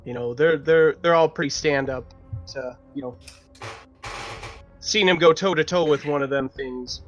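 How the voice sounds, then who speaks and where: A man's voice: fairly high pitch, a bit bright, soft background music. Hesitant male tabletop player, slightly muffled. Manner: hesitant, halting. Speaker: player in tabletop roleplaying game. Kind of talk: improvised in-character roleplay.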